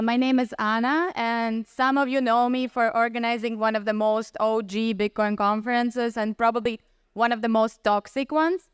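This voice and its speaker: Clear-voiced woman moderating a panel. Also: fairly high pitch, no echo, studio quality. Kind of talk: conference panel hosting. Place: conference stage.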